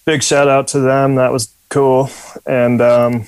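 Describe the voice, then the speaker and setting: American guy in his 20s, chill and casual, pretty flat delivery. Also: mid-range voice, takes it slowly, clear, resonant tone, no echo. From Utah, United States. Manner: easygoing and casual. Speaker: athlete interviewee. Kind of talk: interview.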